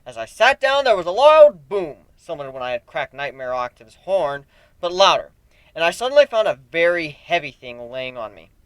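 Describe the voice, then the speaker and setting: A man giving an expressive dramatic reading of a fan fiction story as an amateur narrator. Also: fairly high pitch, dry recording.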